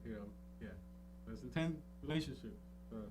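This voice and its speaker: A man's voice: mid-range voice, brisk, background noise. A man in an informal, meandering chat on a talk radio show. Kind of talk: casual chat.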